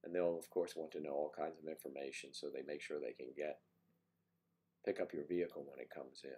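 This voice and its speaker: Deep-voiced older man with a cold. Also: steady tone, brisk, a little mellow. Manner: chatty, teasing, greets viewers by name. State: congested with a cold. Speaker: livestream host. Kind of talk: casual morning livestream chat.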